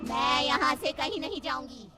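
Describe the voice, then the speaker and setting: A woman's voice: sits high, animated, normal speed, music in the background. A woman voicing a vicious, threatening female doll spirit in a cartoon horror story, with a menacing dramatic delivery.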